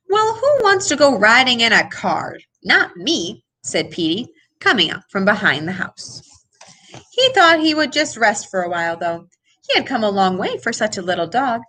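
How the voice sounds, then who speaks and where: A woman reading a children's picture book aloud in an expressive way, with dramatic emphasis. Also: a little high, breathy edge. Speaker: storybook reader. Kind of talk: animated children's read-aloud.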